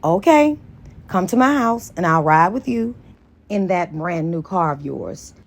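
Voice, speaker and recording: A woman voicing a confident, fun-loving young female teacher in an audiobook, in a lively, assertive way. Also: on the low side, measured pace. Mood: playful. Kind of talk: dramatized audiobook dialogue.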